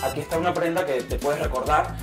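A man's voice: on the high side, fast. A man in his twenties from Cuba with a Cuban accent, talking casually to the camera as a vlogger. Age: 20s. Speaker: vlogger, model. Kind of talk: casual vlog talk to camera. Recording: music behind the voice. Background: background music.

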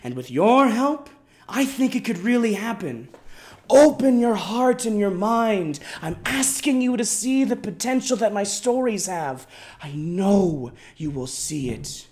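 Man playing a self-important screenwriter, higher-pitched male voice, overwrought dramatic reading, pompous, earnest pleading. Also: big pitch swings. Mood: fervent, boastful. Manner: pompous, overwrought, swinging from hushed to shouted, dramatic pauses. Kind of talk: melodramatic dramatic reading of an email. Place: indoors in a wood-panelled room.

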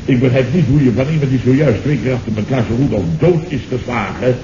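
A man's voice: mid-range voice, fast, mellow, natural voice. A man acts out a self-important boss in an old radio comedy. He blusters with exasperated sarcasm, stammering and repeating himself. The muffled, echoey recording has heavy noise. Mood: indignant. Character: blustering, indignant middle-aged male boss, self-important. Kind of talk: 1970s Dutch radio comedy acting.

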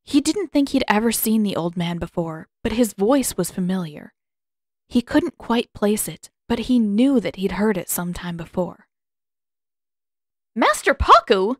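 A woman's voice: medium pitch, animated, moderate pace, mellow, no room sound. Woman narrating fiction, dry recording. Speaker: fan fiction author and narrator. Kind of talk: scripted audiobook narration.

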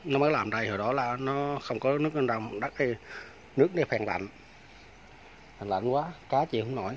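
A man's voice: medium pitch, brisk, a little hiss or hum. A man, talking casually to viewers while filming a walking tour of a farm outdoors on a phone. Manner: chatty, keeps addressing viewers as aunts, uncles and friends. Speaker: vlogger giving a farm tour. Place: outdoors on a rural farm by fish ponds.

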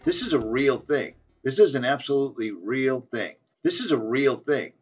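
A man's voice: mid-range voice, animated, normal speed, slightly rough, soft background music. Insistent man rambling, lo-fi audio. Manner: insistent, repetitive, reading aloud with stumbles. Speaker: flat earth video maker. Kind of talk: casual rambling monologue. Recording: dull lo-fi audio.

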